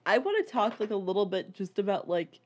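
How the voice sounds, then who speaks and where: A woman's voice: medium pitch, big pitch swings, brisk, a little mellow, slight background noise. A woman co-hosting a podcast in casual, sweary chat, peppering her sentences with "like". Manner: informal, sweary, peppers speech with "like". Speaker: podcast co-host.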